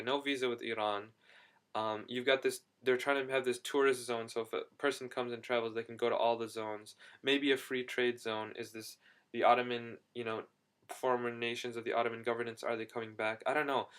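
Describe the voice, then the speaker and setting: A young man in a casual video diary, talking excitedly and rambling with quick restarts. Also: medium pitch. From United States. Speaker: vlogger.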